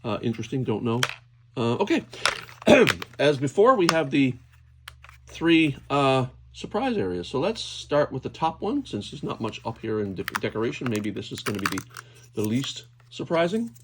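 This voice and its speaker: A man's voice: mid-range voice, very animated, moderate pace. Man thinking aloud over noisy clicking. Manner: hesitant, thinking aloud while handling objects. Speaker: toy unboxing video host. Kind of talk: casual unscripted hands-on commentary. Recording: clear background noise, clicking handling sounds.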